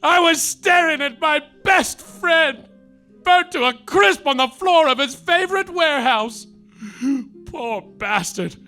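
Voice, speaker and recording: An actor playing a hapless hard-boiled private detective who narrates in deadpan noir style with melodramatic outbursts, in a live comedy radio play. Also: male voice, very high-pitched, slow, soft background music, heavily acted.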